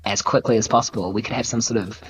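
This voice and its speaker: A woman's voice: extremely low pitch, steady tone, quick pace, low-quality recording. A woman with a New Zealand accent playing a tabletop game, improvising casual, argumentative banter in character. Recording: light music under the voice.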